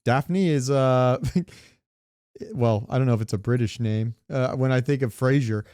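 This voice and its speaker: A man's voice: medium pitch, unhurried, dark tone, clear, resonant tone, dry recording, clean studio sound. A man co-hosting a podcast, chatting informally and with strong opinions about pop culture, swearing now and then. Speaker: podcast co-host. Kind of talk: casual pop-culture chat.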